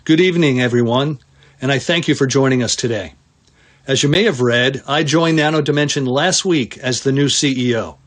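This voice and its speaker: Formal middle-aged or older male chief executive. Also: mid-range voice. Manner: formal, measured, businesslike. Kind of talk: prepared earnings call remarks.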